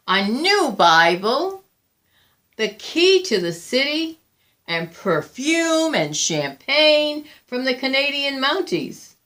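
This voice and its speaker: A woman reading a children's picture book aloud in a deliberate, expressive storytelling manner, with drawn-out emphasis and pauses. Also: a little high. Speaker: volunteer picture book reader.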